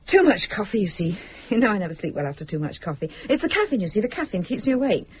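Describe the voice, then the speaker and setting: An actress playing a fragile, anxious wife in a radio drama, hesitant and apologetic, with a breathy voice. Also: female voice, mid-range voice, big pitch swings, fast.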